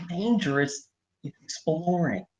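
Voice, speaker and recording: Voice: mid-range voice, animated, unhurried, close to an everyday voice. A performer reading the part of a determined, stubborn young orphaned drone racer at a screenplay table read, speaking insistently. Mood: determined, defensive.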